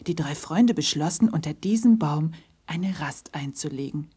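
A woman narrates a scripted children's story. Her voice sits a little low and is very husky and breathy. She speaks as the storyteller of an audio play. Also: animated, moderate pace, dark tone.

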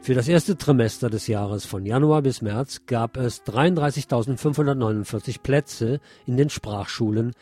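A man's voice: mid-range voice, moderate pace, hardly any pauses, warm tone. Man reading radio news over music.

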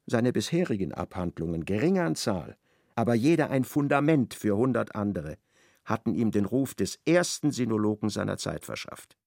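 Gravelly male narrator, close and dry. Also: fairly low pitch, very animated, moderate pace, a little mellow, no echo. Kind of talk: scripted literary narration.

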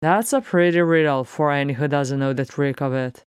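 A narrator voicing a loud, cocky dwarf warrior. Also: mid-range voice, normal speed, smooth, no echo, clean studio sound, lightly acted. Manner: breezy, sardonic, colloquial.

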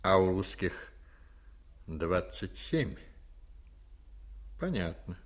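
Shrewd priest, sharply varied pitch. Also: male voice, big pitch swings, extremely slow, exaggerated voice. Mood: wary. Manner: terse, questioning. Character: cautious, shrewd priest and political intriguer. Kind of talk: Soviet radio drama acting.

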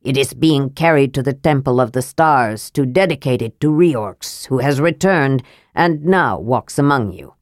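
A woman's voice: a bass voice, animated, measured pace, no echo, studio quality, pitched below the performer's own voice. A woman voicing a stern elderly male dwarf making a solemn announcement.

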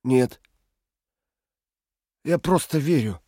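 An actor playing a desperate but idealistic male prisoner, earnest and halting, in an audio drama. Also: mid-range voice, lively intonation, dark tone, no echo, studio quality. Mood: weary conviction. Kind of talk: dramatic audio play dialogue.

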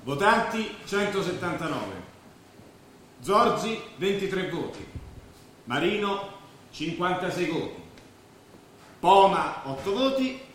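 In a cavernous hall, a male actor plays a measured election teller. His fairly high voice announces slowly, with wide rises and falls. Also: very animated, fairly bright tone, heavily acted. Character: measured official reading out vote counts. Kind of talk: theatrical stage acting. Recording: very echoey hall, faint noise.

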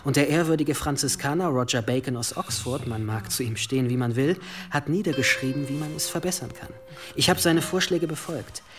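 Medieval monk-tinkerer for audio drama, male actor: modest, composed, encouraging, average pitch, natural dialogue over music. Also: a little fast, lightly acted. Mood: modest, explaining.

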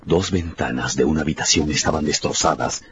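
A man's voice: extremely low pitch, brisk, a little hiss or hum. A man narrating a scripted story for radio in a dramatic storytelling style. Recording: dull sound with music behind.

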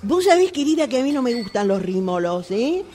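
A woman's voice: a little high, big pitch swings, a little fast, warm tone, a little hiss or hum. A woman playing a fussy, indecisive, affected lady customer with an Argentine accent in a comic radio play. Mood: fussy, dithering. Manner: theatrical, dithering, pretentious. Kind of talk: comic radio theatre acting.

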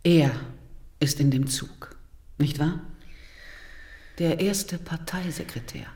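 An actress playing a composed, pointed widow of a Soviet leader in a German radio crime drama. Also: female voice, sits low, slow pace, warm tone. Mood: guarded.

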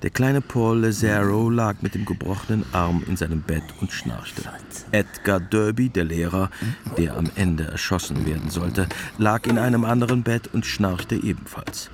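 Deep male radio play narrator. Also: normal speed, noisy background.

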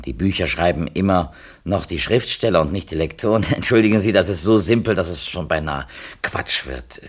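An actor playing a well-meaning, talkative publisher's editor in a 1970s German radio play, speaking in wordy, tentative phrases. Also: male voice, deep voice. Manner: wordy, tentative, self-qualifying.

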